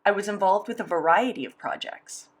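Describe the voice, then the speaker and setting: A woman voicing a sign language interview as interpreter, speaking in a measured, steady first-person delivery. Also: mid-range voice.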